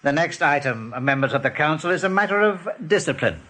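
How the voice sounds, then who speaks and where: A commanding rabbit general from a radio play. His bright, forceful voice moves widely in pitch. He is measured, formal and coldly menacing in his courtesy. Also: animated. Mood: coldly courteous. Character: commanding male rabbit general, coldly authoritarian warren ruler.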